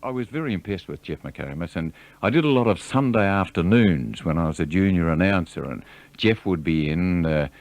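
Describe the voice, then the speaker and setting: A man's voice: deep voice, lively intonation, normal speed, a little mellow. A man, a radio announcer, reminiscing conversationally in an interview.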